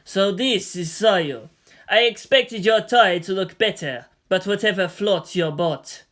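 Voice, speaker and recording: A reader acts out a power-drunk teenage boy villain from an action story. The voice is sharp and forceful, dragging out taunts with a cruel, gloating sneer. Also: medium pitch, natural voice. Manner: sneering, taunting, drawn-out menace.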